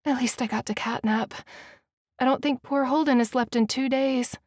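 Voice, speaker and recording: A woman's voice: high-pitched, steady tone, normal speed, darkish tone, dry recording, clean studio sound, voice pushed up. A woman voicing a polite, somewhat hesitant young woman in an audiobook.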